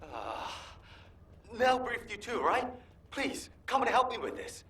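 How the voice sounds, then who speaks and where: Worn-out emotional army guy in a game cutscene, high husky voice, pleading. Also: big pitch swings, slow, bright, forceful tone, heavily acted. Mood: urgent pleading. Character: exasperated, grieving male military colleague, pleading. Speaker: video game voice actor. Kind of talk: dramatic game cutscene acting.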